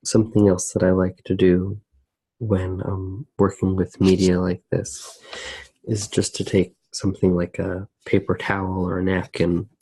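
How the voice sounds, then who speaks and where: A man speaking softly in an ASMR style, musing hesitantly and without a script as he narrates his drawing. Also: sits low, flat delivery, unhurried, breathy edge, poor audio. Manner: hushed, hesitant, unscripted musing. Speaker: host of an ASMR drawing channel. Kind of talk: soft-spoken ASMR narration while drawing.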